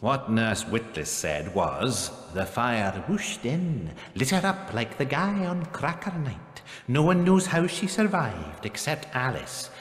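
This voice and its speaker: An actor playing a male psychiatrist in a dark video game, telling a tale in a measured way. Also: mid-range voice, big pitch swings. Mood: confiding. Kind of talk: video game cutscene dialogue. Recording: roomy reverb with loud game music.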